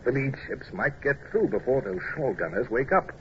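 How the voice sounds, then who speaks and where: An actor playing a middle-aged British naval commodore in an old-time radio drama, clipped, commanding and urbane, who also narrates the battle. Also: male voice, medium pitch, big pitch swings, moderate pace, natural voice. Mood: brisk, wry. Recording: dull, noisy old radio broadcast recording.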